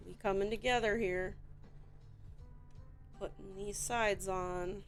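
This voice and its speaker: A Midwestern woman with a Chicago accent, chatting in a rambling, relaxed way while she builds a toy set on stream. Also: medium pitch, faint music behind. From Midwestern United States. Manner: chatty, rambling, slow and drawn out, with frequent fillers.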